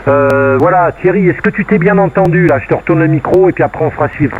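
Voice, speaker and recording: Higher-pitched man on a tinny radio. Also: quick pace. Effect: two-way radio transmission. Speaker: amateur radio operator. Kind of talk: casual radio contact chat. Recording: narrow, noisy radio link.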